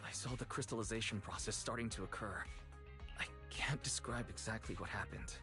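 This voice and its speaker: A man's voice: lowish voice, a little fast, natural voice. A man voicing a caring, modest young hero in a video game, speaking earnestly. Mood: earnest, reassuring. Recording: game music behind.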